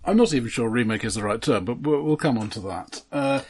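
A man with a husky, slightly rough voice co-hosts a casual film podcast. He is precise and wry, adding qualifications as he goes. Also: medium pitch, lively intonation, brisk. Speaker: podcast co-host.

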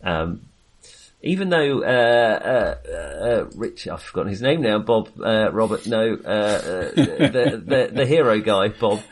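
A man's voice: on the low side, animated, a little slow. A man co-hosting a film podcast, chatting casually in a hesitant, wandering way with self-amused asides.

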